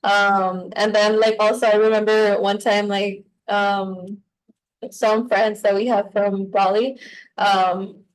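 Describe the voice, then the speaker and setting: A woman's voice: mid-range voice, flat delivery, takes it slowly, low-quality recording. Chatty young woman with a Spanish accent. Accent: Mexican Spanish. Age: young adult. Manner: chatty, heavy use of "like", switches into Spanish. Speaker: podcast co-host. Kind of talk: casual conversation.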